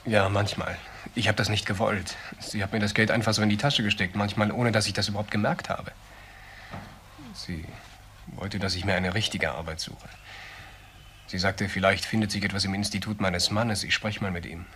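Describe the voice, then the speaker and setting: Low-voiced, earnest young ex-convict. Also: male voice, fairly even intonation, moderate pace, sharp tone, a little hiss or hum. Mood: earnest, defensive. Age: young adult. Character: earnest, defensive young ex-convict recounting his story. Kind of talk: naturalistic German crime radio drama acting.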